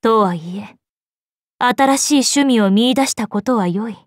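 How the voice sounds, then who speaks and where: A woman's voice: mid-range voice, unhurried, no echo, studio quality, close to an everyday voice. A woman playing a composed, stern yet motherly fairy queen in an anime game, speaking formally and with dignity. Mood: composed concern.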